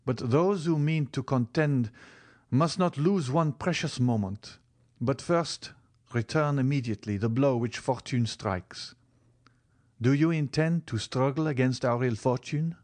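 A man playing a passionate, desperate young gentleman in love in an audiobook, speaking with earnest, formal urgency. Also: mid-range voice, a little slow, voice pushed up, natural voice. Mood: resolute. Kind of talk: dramatic audiobook character acting.

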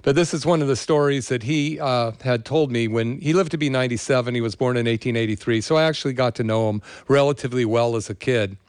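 A man's voice: medium pitch, fast talker, hardly any pauses, clear, resonant tone, no echo, clean studio sound. An older man with an American accent, hosting a show in a casual, rambling monologue with personal storytelling. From California, USA. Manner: rambling, conversational. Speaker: show host.

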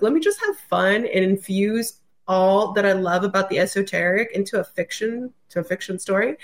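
Female author as podcast guest, average pitch, casual, expressive, very colloquial conversational delivery. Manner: informal, filler-heavy "like" speech, expressive. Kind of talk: casual chat.

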